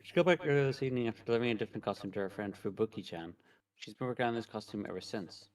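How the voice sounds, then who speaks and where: A man's voice: on the low side, very fast, no room sound. A man co-streaming a visual novel, reading narration and chatting casually. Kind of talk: game narration and casual chat.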